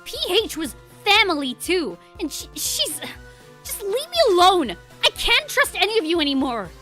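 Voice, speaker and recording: A woman's voice: very high voice, lively intonation, measured pace, sharp tone, soft background music, pitched above the performer's own voice, full character voice. A woman voicing a hurt, defensive female penguin spy in a game story, curt and sarcastic. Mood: hurt, angry.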